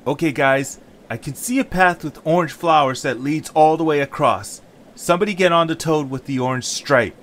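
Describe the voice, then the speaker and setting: A man's voice: on the high side, moderate pace, fairly bright tone, a little hiss or hum, pitched above the performer's own voice, natural voice. A man voicing an eager, curious young creature who leads an adventuring group in an amateur audio drama. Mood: confident.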